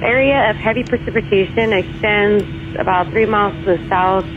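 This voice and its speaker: A man working as an air traffic controller, giving brisk radio phraseology over an aviation radio. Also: high-pitched. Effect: aviation radio transmission. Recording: tinny narrowband radio with clear noise.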